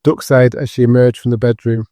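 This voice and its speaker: A man narrates a scripted drama in a mid-pitched, mellow voice. His delivery stays even and controlled. The sound is dry and close. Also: fairly quick, no echo.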